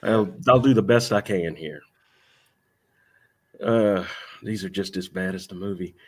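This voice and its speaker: Croaky man with a cold. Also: deep voice, unhurried. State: hoarse from a cold. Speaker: podcast co-host. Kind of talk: casual chat.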